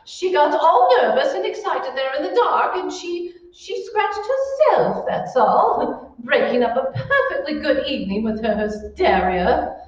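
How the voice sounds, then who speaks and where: A woman's voice: sits high, sing-song, unhurried. A woman playing a haughty, bossy married hostess in an old-time radio thriller, scolding in a theatrical, indignant way. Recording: echoey hall, distant mic, muffled.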